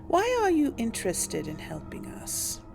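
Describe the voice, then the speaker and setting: A woman's voice: fairly high pitch, wildly swooping pitch, a little slow, vocal fry, darkish tone, soft background music. A woman doing a casual let's play, commenting and role-playing dialogue as a gaming host.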